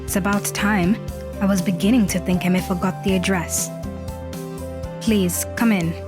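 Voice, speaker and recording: A woman plays a hoarse, irritable teenage girl in an audio drama. She is curt and haughty, at a medium pitch for a woman, with music behind her. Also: steady tone, moderate pace, close to an everyday voice. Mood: impatient.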